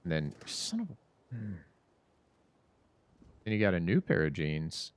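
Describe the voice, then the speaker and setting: A man's voice: low voice, wildly swooping pitch, a little slow, clear, resonant tone. A man in his thirties giving rambling, self-mocking commentary while streaming a horror game from his home setup. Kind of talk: casual gameplay commentary.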